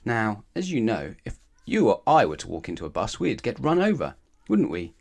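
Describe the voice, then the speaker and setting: A man reading a children's story aloud in an expressive, animated way, with emphatic phrasing aimed at the listener. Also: lowish voice, normal speed, vocal fry. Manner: animated, asks the listener questions, emphatic repetition.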